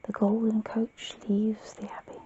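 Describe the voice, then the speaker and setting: A woman whispering gently and soothingly in an ASMR roleplay, breathy and unhurried, chatting to the listener. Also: mid-range voice, monotone, muffled recording. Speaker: ASMR host.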